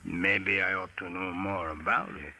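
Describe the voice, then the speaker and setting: An actor playing a furtive, cautious pawnbroker in 1940s radio style, guarded and noncommittal. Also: male voice, low voice, little pitch movement, unhurried, bright, forceful tone, full character voice. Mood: wary, probing. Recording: noisy vintage 1940s radio recording.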